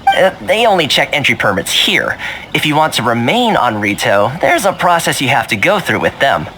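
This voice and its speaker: A man's voice: mid-range voice, sing-song, normal speed, bright, forceful tone, slight background noise, rough recording. A man playing an easygoing, affable young male guide in a video game, breezy and politely cajoling. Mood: easygoing, explaining. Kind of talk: video game character acting.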